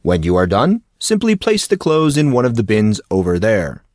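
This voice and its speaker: A man's voice: lowish voice, wildly swooping pitch, moderate pace, darkish tone, no room sound. A man puts on an eccentric male coach from an audiobook tale, a former football player with courtly manners. He speaks in grandiose, formal sentences, effusively polite, in a close, dry sound. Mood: formal, instructive. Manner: formal, flowery, grandiose phrasing.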